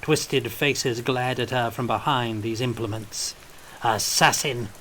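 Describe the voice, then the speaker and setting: A man's voice: mid-range voice, fairly even intonation, a little slow, a bit bright, a little hiss or hum. A man reading scripted fiction as an audiobook narrator, in a storytelling style.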